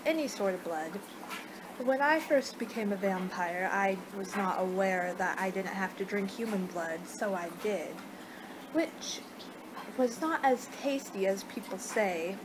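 A woman in her twenties doing a rambling, hesitant ASMR roleplay as a friendly vampire, speaking conversationally. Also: mid-range voice. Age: 20s. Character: friendly young two-hundred-year-old female vampire hosting a guest. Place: small room at home. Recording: noisy home webcam recording.

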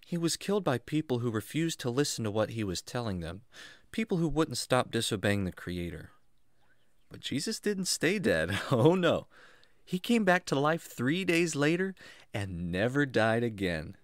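A man's voice: medium pitch, moderate pace, dry recording, studio quality, lightly acted. A man playing a kindly, enthusiastic jungle man who teaches patiently and expressively in a children's radio drama. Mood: excited, tender. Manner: expressive, reassuring, explanatory.

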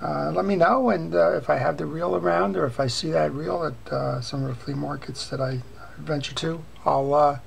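An older man hosting a fishing reel repair channel, talking casually while showing reels on his workbench, with many "uh" pauses. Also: on the high side, steady tone. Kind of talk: casual show-and-tell. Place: workbench at home. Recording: clear background noise.

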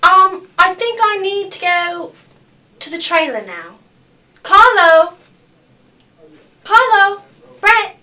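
A woman's voice: very high voice, animated, slow, long pauses, sharp tone, exaggerated voice. A woman playing a vain, self-important female movie star diva in a comic behind-the-scenes film, recorded at a distance. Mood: demanding.

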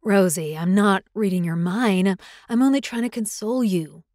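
A woman voicing a young male alpha werewolf who speaks softly and apologetically, with halting restarts, in an audiobook. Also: medium pitch, dry recording, studio quality, close to an everyday voice. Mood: tender. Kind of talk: audiobook character voice.

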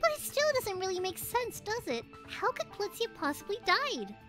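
A woman voicing earnest, puzzled young cartoon penguin detectives, reading game dialogue in an expressive, inquisitive way with music behind. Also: extremely high pitch, normal speed, pitched above the performer's own voice, heavily acted.

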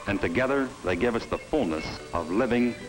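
A man's voice: lively intonation, rough recording. Emphatic middle-aged male film presenter. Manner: measured, emphatic sales pitch. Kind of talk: scripted promotional narration. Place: studio set. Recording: old film soundtrack with faint music.

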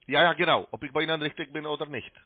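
A man's voice: a little high, very fast, no echo. A man with a foreign accent in German, a non-native speaker making a call-centre cold call. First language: not German. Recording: dry, tinny phone line.

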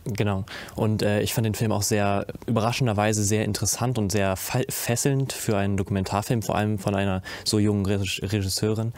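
A man's voice: lowish voice, steady tone, fairly quick, hardly any pauses, darkish tone. A young man on a discussion panel, speaking intensely with many hesitations and restarts. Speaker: youth panelist. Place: indoor studio set with curtains.

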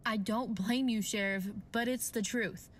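A woman's voice: fairly high pitch, steady tone, a little slow. A woman playing a sober, earnest female doctor in a 1940s-style radio drama. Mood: grave, pleading. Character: grave, tense female doctor and scientist.

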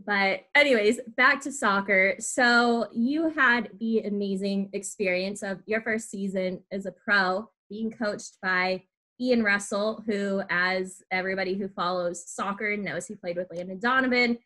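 Bright-voiced young woman hosting a podcast. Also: mid-range voice, steady tone, measured pace. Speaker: podcast host and team staff member. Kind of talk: casual, chatty interviewing.

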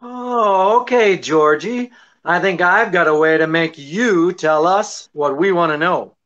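A man's voice: sits high, fairly bright tone. Middle-aged guy playing a wry suave detective, takes his time, old radio mystery comedy, bit muffled. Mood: teasing. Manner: unhurried, wry, hesitating for effect. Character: suave, teasing married male detective. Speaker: actor. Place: indoors, small draped room. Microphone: video call. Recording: muffled video-call audio.

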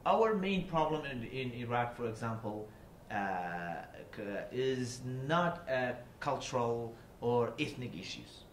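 A man's voice: mid-range voice, lively intonation, takes it slowly. Man in an echoey room. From Iraqi Kurdistan. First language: Kurdish. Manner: earnest and explanatory, with frequent restarts. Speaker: guest speaker. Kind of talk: public talk. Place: university seminar room. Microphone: table mic from a seated distance. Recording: echoey room with faint noise.

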